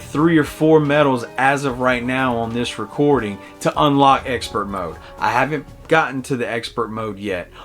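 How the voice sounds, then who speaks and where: Man, conversational game reviewer, enthusiastic, middle-pitched voice, music underneath. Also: fairly bright tone. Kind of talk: casual review commentary. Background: game music behind the voice.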